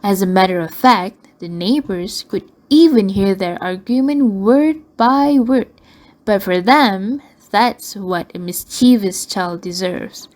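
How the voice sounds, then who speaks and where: A woman's voice: mid-range voice, slow pace, a little mellow, rough recording. A woman reading scripted narration for a radio drama.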